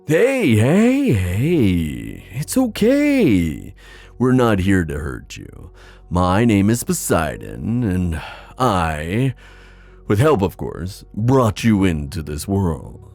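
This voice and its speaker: A man playing a proud, protective sea god and father in a fantasy ASMR roleplay, musing haltingly with sighs. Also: sits low, wildly swooping pitch, creaky, a little mellow, soft background music, exaggerated voice. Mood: gentle, reassuring.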